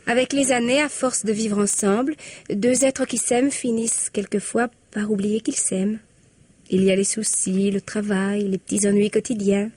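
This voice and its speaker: An actress in a 1950s-style French radio drama playing a cheerful, talkative and naive wife. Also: female voice, mid-range voice, fairly even intonation, moderate pace, dry recording, close to an everyday voice. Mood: chatty. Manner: warm, talkative.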